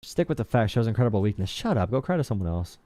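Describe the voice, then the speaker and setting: A young man hosting a casual political livestream with callers, slangy and quick to mock his chat. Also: lowish voice, sing-song, warm tone, no room sound, studio quality. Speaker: livestream host.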